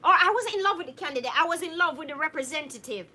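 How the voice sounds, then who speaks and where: A young woman with a very high voice and a bright, sharp tone hosts a relaxed live-stream chat. She reads out viewer comments. She laughs often, greets viewers by name, and keeps up an animated, chatty manner. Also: rapid-fire. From Jamaica. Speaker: co-host reading viewer comments.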